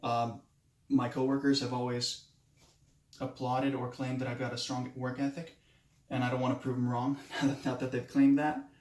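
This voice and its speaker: Twenty-something guy from moldova, romanian first language, stumbling thru a class intro speech, mid pitch, echoey room. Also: flat delivery, darkish tone. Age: 20s. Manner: hesitant, halting, many restarts. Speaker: student.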